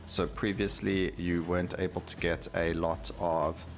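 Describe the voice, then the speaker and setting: A man's voice: low-pitched, flat delivery, unhurried. A man giving casual gameplay commentary as the host of a gaming video.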